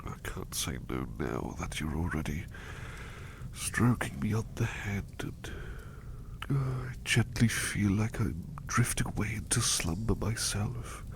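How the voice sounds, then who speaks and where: Casting: male actor as devoted, bashful butler for ASMR roleplay; low, expressive voice, hushed and halting, eager to please. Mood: drowsy, tender. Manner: halting, repeats phrases, effusively polite. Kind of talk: soft-spoken ASMR roleplay.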